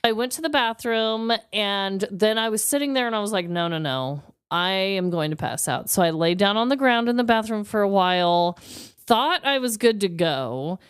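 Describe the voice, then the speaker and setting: A woman podcast co-host telling stories casually, expressive and candid, swearing freely. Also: medium pitch, moderate pace, clean tone, no room sound, clean studio sound. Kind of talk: casual conversational storytelling.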